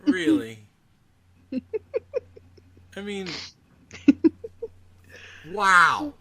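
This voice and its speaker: A man's voice: high voice, a crowd in the background. A man hosting a podcast, chatting casually and comically, incredulous and often restarting his sentences. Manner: animated, incredulous, halting restarts. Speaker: podcast host. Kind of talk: casual comedic chat about a bizarre news story.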